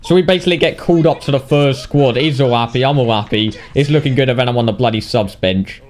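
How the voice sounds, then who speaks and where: Sweary British lad narrating game highlights. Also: male voice, quick pace, darkish tone, faint music behind. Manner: sweary, blokey, mock-indignant. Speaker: gaming video narrator. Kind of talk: casual game highlights commentary.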